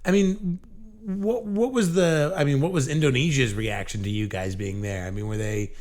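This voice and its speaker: A man hosting a casual podcast, speaking haltingly with frequent restarts and casual swearing. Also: medium pitch, darkish tone. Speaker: podcast host.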